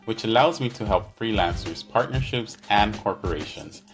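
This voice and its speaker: A man's voice: lowish voice, lively intonation, moderate pace, faint music behind. A man hosting a business podcast, chatty and friendly, often tagging questions with "right?"; Spanish was his first language. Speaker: podcast host. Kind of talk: conversational interview.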